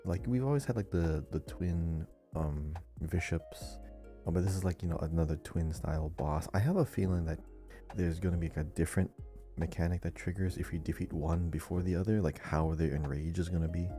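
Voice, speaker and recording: A man's voice: deep voice, fairly quick, warm tone, faint music behind. A man co-hosting a casual gaming podcast, chatting and reading listener messages with chatty asides. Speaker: podcast co-host.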